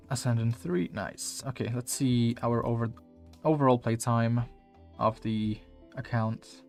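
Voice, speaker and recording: Rambling Polish-accented game coach. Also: male voice, mid-range voice, slow, creaky, long pauses, faint music behind. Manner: rambling, filler-heavy, casual swearing. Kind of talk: casual gameplay video review.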